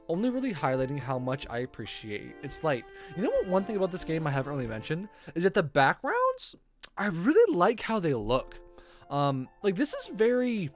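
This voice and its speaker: Female streamer, lively swooping delivery, casual read-aloud with commentary over faint game music. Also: low voice. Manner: chatty, reacts to the story aloud.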